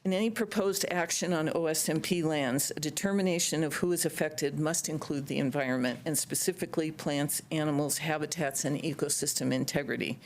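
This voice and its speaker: A woman's voice: low-pitched, a little fast, hardly any pauses, a little hiss or hum. Earnest woman giving a prepared public comment. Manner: earnest, reads carefully with some fillers. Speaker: public commenter for a local bird society.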